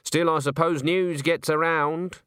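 A man's voice: a little high, fairly even intonation, unhurried, a bit bright, smooth, no echo, studio quality, voice pushed up. A man voicing a stern, proud old village witch woman with a blunt, suspicious manner, in a storybook reading. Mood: firm.

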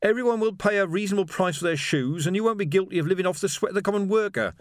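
Man voicing an earnest, high-pitched revolutionary. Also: expressive, brisk, no room sound, clean studio sound. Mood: earnest, explaining. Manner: preachy, insistent, easily offended. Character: earnest, prim, idealistic male revolutionary organiser.

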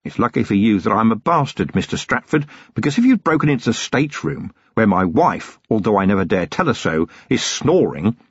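A man voicing a weary, gruff city police commander who talks in a slow, deliberate, menacing way. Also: on the low side, sing-song, no room sound, muffled recording, heavily acted.

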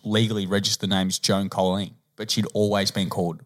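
Australian podcast co-host telling stories. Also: male voice, on the low side, little pitch movement. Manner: storytelling, drawn-out asides. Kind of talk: casual banter.

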